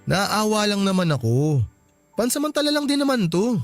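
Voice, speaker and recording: Casting: young Filipino husband for radio drama, high voice, torn and pleading, music behind. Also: male voice, very animated, normal speed. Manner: hesitant, pleading, defensive. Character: conflicted young husband, apologetic, loyal to his brother.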